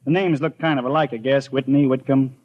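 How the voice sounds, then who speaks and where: A man's voice: mid-range voice, fairly even intonation, a little fast, natural voice. An actor playing an earnest, imaginative small-town postman with a folksy, hesitant manner in a 1950s radio drama. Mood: flustered, indignant. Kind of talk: 1950s radio acting, first-person storytelling and dialogue. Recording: dull old radio recording.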